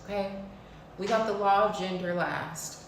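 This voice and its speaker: A woman's voice: medium pitch, a little slow, recorded from a distance, slight background noise. A woman in her thirties giving a blunt, preachy spiritual talk to her viewers, swearing freely. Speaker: spiritual vlogger. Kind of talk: casual spiritual talk to camera. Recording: echoey room, voice off-mic.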